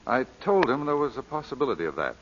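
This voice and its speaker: An actor playing a genial, romantic English lord with an urbane, courtly manner in old-time radio drama. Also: male voice, mid-range voice, big pitch swings, fast, lightly acted. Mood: romantic, teasing. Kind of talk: 1940s radio acting. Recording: dull, faintly noisy vintage radio recording.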